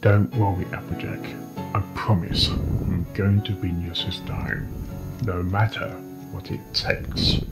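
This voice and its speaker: Man acting a deep-voiced pony detective, loud music. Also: little pitch movement, unhurried, low-quality recording. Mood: reassuring, determined. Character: calm, reassuring male pony detective doctor. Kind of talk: dramatic fan fiction voice acting.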